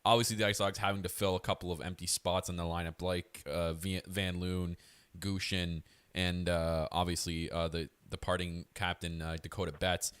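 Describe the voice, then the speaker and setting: A man co-hosting casual sports talk in a halting way, piecing his questions together as he goes. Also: low voice, level tone, fairly bright tone, clear, resonant tone, no echo. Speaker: sports show co-host.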